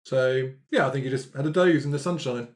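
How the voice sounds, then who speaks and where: A man's voice: mid-range voice, brisk. A man chatting casually as a player on a role-playing show. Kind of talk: casual table chat.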